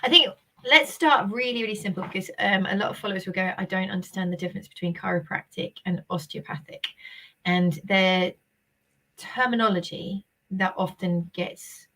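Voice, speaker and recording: A woman's voice: medium pitch, moderate pace, sharp tone, slight background noise, low-quality recording. A woman hosting a live-streamed interview, talking in an enthusiastic, bubbly and emphatic way. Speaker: host.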